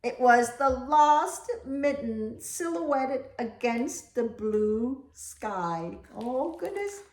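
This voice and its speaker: Animated woman reading aloud to children, echoey room. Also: sits high, drawn-out words. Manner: animated, exclaiming, prompting listeners with questions. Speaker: read-aloud storyteller for children. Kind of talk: expressive picture-book reading with asides to young listeners.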